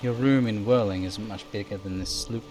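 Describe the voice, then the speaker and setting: A man's voice: lowish voice, fairly even intonation, normal speed. A man streaming a game, reading descriptive prose aloud like a narrator and dropping in casual asides.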